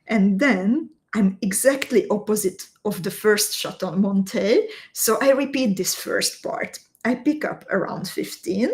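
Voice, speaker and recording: A woman talks viewers through each beading step, slowly and carefully. Her voice sits in a middle register, and she takes her time over every instruction. Between steps she chats easily with her live audience. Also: medium pitch. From Slovakia. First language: Hungarian. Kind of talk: live-stream craft tutorial.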